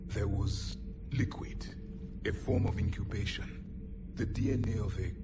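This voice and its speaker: A man plays a proud ancient alien warrior with a very deep voice, grave and commanding, his words often sinking into a cold half-whisper. He sounds set back from the mic under loud game music. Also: measured pace, recorded from a distance, lightly acted. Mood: grim. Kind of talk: video game cutscene dialogue.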